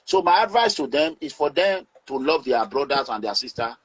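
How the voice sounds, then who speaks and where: A Nigerian man speaking in a forceful, preaching way as he gives advice to his online audience. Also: medium pitch, very animated, a little fast, breathy edge, rough recording.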